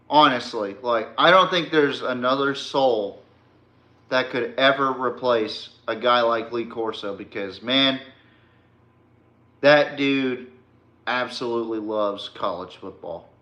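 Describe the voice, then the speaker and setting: A man's voice: mid-range voice, bright, forceful tone. A man hosting a casual sports podcast, talking informally to a stream audience in a rambling, emphatic way. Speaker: podcast host. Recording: echoey room.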